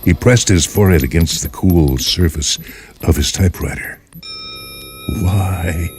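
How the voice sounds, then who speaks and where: Very deep-voiced man narrating a story. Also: moderate pace, darkish tone. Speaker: narrator reading short fiction for a radio series. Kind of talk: scripted dramatic story narration. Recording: music behind the voice.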